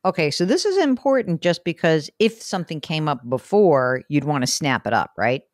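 A woman with a New York accent hosting a call-in advice show, brisk and chatty, asking quick follow-up questions. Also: sits low, animated, a little mellow, dry recording, clean studio sound. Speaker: host.

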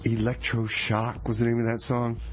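A man's voice: medium pitch, monotone, normal speed, dull audio, slight background noise, natural voice. A man playing a flustered, put-upon community radio DJ in a comedy show, stammering and folksy. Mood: announcing. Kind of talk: comedy radio theater, DJ announcing.

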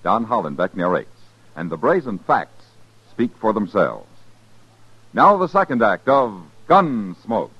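A man's voice: lowish voice, sing-song, a little slow. Casting: male network announcer, emphatic and swooping, slightly muffled vintage radio sound. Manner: dramatic, emphatic delivery. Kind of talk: 1950s radio announcing, scripted promo. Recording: dull 1950s radio recording.

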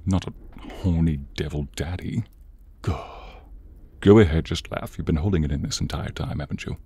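A man playing an exasperated, menacing horned demon in an ASMR roleplay, theatrical and grumbling, with a husky, breathy voice. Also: rumbling low, moderate pace, dark tone, no echo, full character voice. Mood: resigned.

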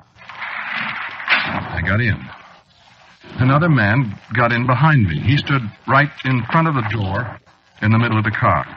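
An actor playing an anxious, haunted man confiding his obsession haltingly in a 1940s radio drama. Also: on the low side, natural voice. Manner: hesitant, rambling, halting confiding delivery. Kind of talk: 1940s radio drama acting, confessional narration. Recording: dull, noisy old radio recording.

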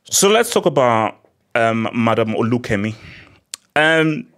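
A man in his thirties with a Nigerian accent, giving sarcastic, animated political commentary into a desk microphone in a small studio. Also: mid-range voice, a little slow. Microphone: desk mic on boom arm.

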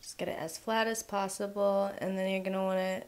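A young woman hosting a home cooking channel, walking through a recipe in a relaxed way with lots of "um" and "like". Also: medium pitch, fairly quick. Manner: relaxed, filler-heavy instructions with frequent "um" and "like". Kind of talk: casual recipe voice-over.

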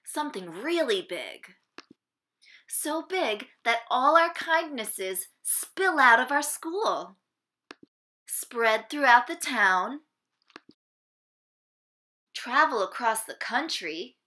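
A woman reads a children's storybook aloud with a bright, clear-cut tone and very animated pitch. She takes her time, phrasing each sentence slowly and deliberately for young listeners. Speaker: storybook reader. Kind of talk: expressive children's picture-book read-aloud.

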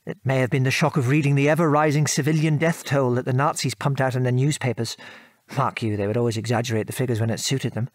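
A man's voice: mid-range voice, fairly even intonation, no echo, lightly acted. An actor with a British accent playing a former RAF airman and father, frankly recounting his war service in an articulate, old-fashioned way for an audio drama. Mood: bitter, indignant. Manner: articulate, measured storytelling with old-fashioned British turns of phrase. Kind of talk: conversational audio drama reminiscence.

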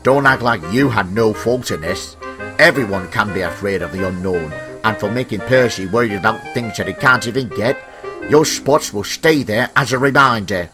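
A man's voice: fairly low pitch, moderate pace, lightly acted. A man voicing a stern, authoritative railway controller in a children's story, with music behind. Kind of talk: children's storybook character acting.

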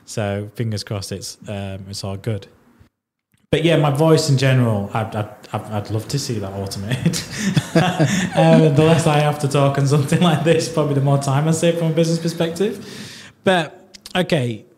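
Male podcast host, deep Yorkshire Leeds accent, chatty and self-deprecating, asks long rambling questions. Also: moderate pace, darkish tone, slight background noise. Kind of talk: casual interview chat.

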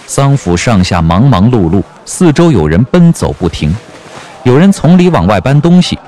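A man's voice: medium pitch, big pitch swings, normal speed, mellow. A man narrating a scripted audio drama in a dramatic storytelling style. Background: background music.

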